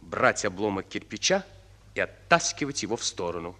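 A man narrating a radio drama in a solemn, deliberate, theatrical style. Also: lowish voice, big pitch swings, pushed and bright, slight background noise. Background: music.

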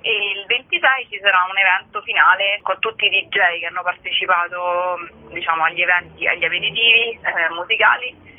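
A woman's voice: lowish voice, fairly quick. A woman giving an informal interview as a festival organiser, speaking as a guest. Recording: tinny, narrow phone line.

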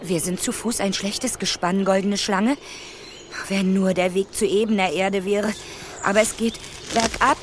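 An actress playing a plucky, stubborn fifteen-year-old princess in a radio play, prim and plain-spoken. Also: female voice, medium pitch, fairly even intonation, a little slow, slight background noise, close to an everyday voice. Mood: weary. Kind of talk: German radio play acting.

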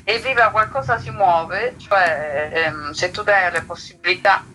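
Bright, forceful man, casually ironic. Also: high voice, measured pace, a little hiss or hum, low-quality recording. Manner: informal, colloquial, playfully ironic. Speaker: interview guest, theatre project organizer and tutor. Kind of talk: casual radio interview talk.

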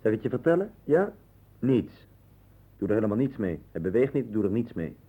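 A man's voice: lowish voice, sing-song, a little fast, husky, narrow, tinny audio, heavily acted. An actor playing an impatient, scornful man in a 1960s Dutch radio play, curt and dismissive, with a Netherlands accent. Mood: testy. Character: impatient, scornful man putting up with his friend.

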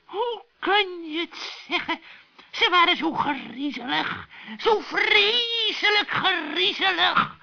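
Guy doing a super high screechy old witch lady, slow and bright, frantic and pleading, old kids radio play. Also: animated, creaky, full character voice. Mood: frightened. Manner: panicky, pleading, stammering. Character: frantic, wheedling old female forest witch. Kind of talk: children's radio play acting. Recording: dull old radio recording.

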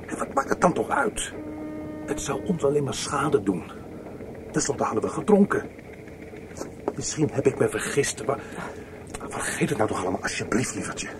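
A nervous, pleading lover, swinging in pitch. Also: male voice, huge pitch swings, moderate pace, pauses often, background noise. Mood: pleading, coaxing. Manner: hushed, pleading, coaxing, agitated. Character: nervous secret lover, pleading, evasive married man. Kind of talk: Dutch radio play acting.

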